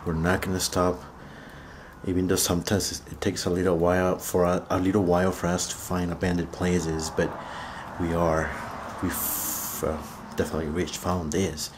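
A young man vlogging as he explores an abandoned house, talking excitedly to his viewers, recorded on a handheld camera. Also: sits low, normal speed, background noise. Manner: excited, addresses viewers as fams. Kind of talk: casual exploring vlog. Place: outdoors and inside an abandoned rural house. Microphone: handheld camera at arm's length.

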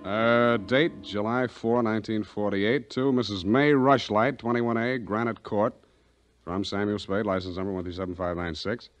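A low-pitched, hard-boiled male detective played by an actor in a 1940s radio drama. He narrates drily and wryly, with music clearly playing behind. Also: fairly even intonation, normal speed, close to an everyday voice. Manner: dry, wisecracking. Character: wry, street-wise male hard-boiled private detective. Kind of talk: 1940s radio acting, first-person dictated narration.

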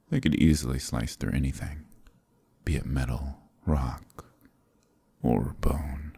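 Brief: man playing a flirtatious demon prince, very deep slow murmur, husky breathy close-mic half-whisper, teasing and commanding. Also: warm tone, heavily acted. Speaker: voice actor in audio roleplay. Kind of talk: ASMR roleplay, close intimate murmur.

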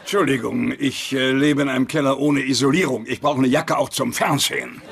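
Sitcom dub: male actor as needy, grumbling elderly father with a creaky voice. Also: mid-range voice, fairly even intonation, fairly quick, slight background noise, lightly acted. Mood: querulous, wheedling. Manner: theatrical, put-upon grumbling. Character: cranky needy elderly father living in the basement. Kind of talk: German-dubbed sitcom acting.

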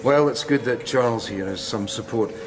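An actor playing a courteous, condescending, disapproving widowed psychiatrist in a radio drama, speaking formally. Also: male voice, medium pitch, normal speed, close to an everyday voice. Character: smooth, prim, disapproving male psychiatrist, newly widowed.

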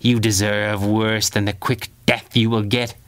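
A man's voice: lowish voice, level tone, measured pace, natural voice. A man voicing a small, petulant male gangster villain in an audiobook, sneering and theatrical with gleeful menace. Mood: seething.

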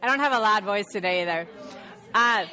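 A woman's voice: mid-range voice, fairly quick, sharp tone, poor audio. A woman in her thirties leads a women's Bible study, her voice audibly nasal from a sinus infection. She talks in a loose, chatty way, laughing easily and restarting her sentences as she shares with the group. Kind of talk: casual talk to a women's group. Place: church meeting room.

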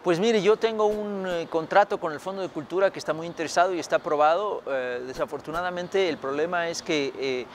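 Middle-aged man, thoughtful, halting interview answers. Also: on the high side, soft background music. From Mexico. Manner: thoughtful, many fillers and restarts. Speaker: historian author. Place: outdoors by a building.

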